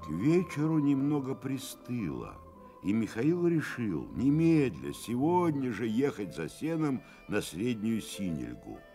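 A man narrates a radio play in a mellow voice. His delivery is slow and extremely varied in pitch, with light music underneath. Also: wildly swooping pitch. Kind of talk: scripted radio drama narration.

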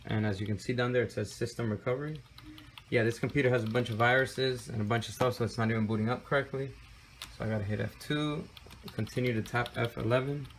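A man's voice: mid-range voice, fast talker, slight background noise. Casual man walking through a tech fix. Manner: relaxed, step-by-step with frequent fillers. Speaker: tech tutorial host. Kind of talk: casual screen-recorded tutorial. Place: indoor room with desk and computer.

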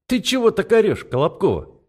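A man's voice: sits high, huge pitch swings, brisk, a little mellow, slightly rough, voice pushed up, heavily acted. A man voicing a brash, bossy young woman who teases her best friend in an audiobook. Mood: teasing. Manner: categorical, pushy, mocking.

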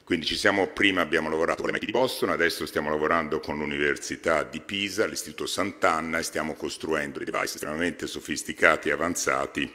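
A man's voice: rumbling low, sharp tone. A man in his fifties, president of a foundation, giving a formal speech at a podium on an exhibition stage, with many hesitations. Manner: halting, frequent hesitations. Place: large exhibition hall stage. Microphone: podium microphone. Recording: reverberant hall.

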